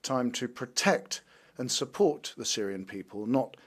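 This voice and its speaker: A man interpreting a formal parliamentary address into English. His voice sits at an ordinary middle pitch, and he keeps an even, measured tone with only an occasional restart. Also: slightly rough. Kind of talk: simultaneous interpretation of a parliamentary speech.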